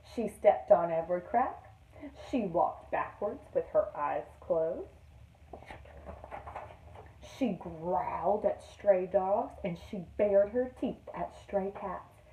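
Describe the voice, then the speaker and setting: A woman teacher reading a picture book aloud to young children in an expressive storytelling manner. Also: medium pitch, slow, a little hiss or hum. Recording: roomy echo, distant microphone.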